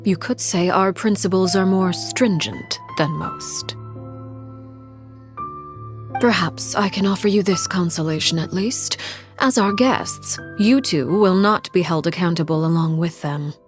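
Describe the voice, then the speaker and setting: A woman voicing a cold, commanding matriarch who rules an orphan household, in video game dialogue. She speaks in a calm, measured and quietly menacing way. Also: lowish voice, music in the background, lightly acted. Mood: cool menace.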